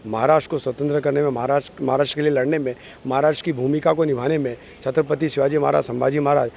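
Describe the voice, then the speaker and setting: A man's voice: mid-range voice, fairly even intonation, racing, creaky, a little mellow, a little hiss or hum. A man from Maharashtra, India, giving earnest, effusive interview remarks as a party politician, often repeating phrases for emphasis. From born in Maharashtra, India. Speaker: political party office-bearer.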